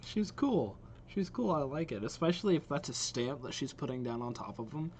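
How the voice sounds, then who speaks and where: A man hosting a reaction video with casual, impressed commentary, thinking out loud as he watches. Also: fairly high pitch, sing-song, brisk. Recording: game trailer music playing behind. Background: game trailer audio and music.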